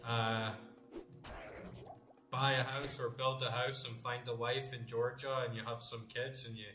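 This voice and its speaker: A man's voice: mid-range voice, flat delivery, a little slow. A man in his late thirties chatting casually while playing a game, rambling loosely and swearing now and then. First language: English. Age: late 30s. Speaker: gamer playing on stream. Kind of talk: casual chat while gaming. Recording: roomy sound with music behind.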